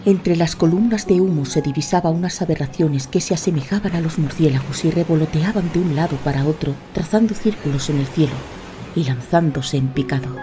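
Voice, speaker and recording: A woman's voice: deep voice, fairly quick, noisy background. A woman narrating a fantasy audio drama in a scripted, dramatic storytelling style.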